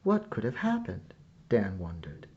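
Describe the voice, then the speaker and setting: A man narrating a children's storybook aloud in a measured, deliberate way with pauses. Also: lowish voice, huge pitch swings, muffled recording. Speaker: storyteller reading a picture book aloud.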